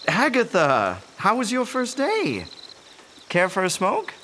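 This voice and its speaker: Placating young manservant, awkward, radio drama, swooping pitch, faint night insects. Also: male voice, huge pitch swings, slow, sharp tone, a little hiss or hum, full character voice. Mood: friendly, reassuring. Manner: placating, hesitant. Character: kindly, awkward young manservant, eager to keep peace. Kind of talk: audio drama acting.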